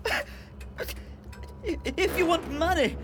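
A man's voice: very high-pitched, takes it slowly. An actor playing a terrified male captive in an audio drama, stammering, whimpering and pleading. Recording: loud music under the voice.

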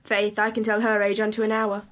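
A woman's voice: medium pitch, monotone, brisk, natural voice. A woman playing a doting, garrulous nurse in a student Shakespeare audio play. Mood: fond, chatty. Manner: exclaiming, fond, garrulous. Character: Juliet's doting, chatty, earthy old nurse.

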